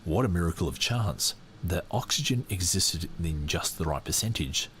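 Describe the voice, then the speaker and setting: A man hosting a podcast, reading a book aloud and breaking off to comment, animated, laughing often, with lots of fillers and restarts. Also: deep voice, a little hiss or hum. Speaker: podcast host.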